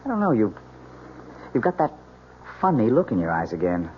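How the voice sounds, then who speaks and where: An actor playing a breezy, affectionate young newlywed husband in an old-time radio mystery, teasing and casual with a slight stammer. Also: male voice, lowish voice, huge pitch swings, moderate pace. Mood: concerned. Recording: dull old radio recording, faint hiss.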